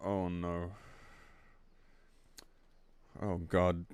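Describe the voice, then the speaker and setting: A man's voice: low-pitched, completely flat delivery, slow pace, a little mellow, clean tone. A man live-streaming in a casual, blunt, exasperated way, commenting between bits of reading aloud and swearing freely.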